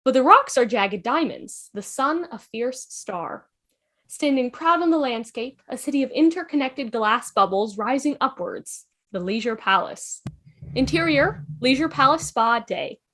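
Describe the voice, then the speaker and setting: A woman's voice: high-pitched, lively intonation, a little slow, a bit bright. A woman narrating the stage directions of a script in a fan table read.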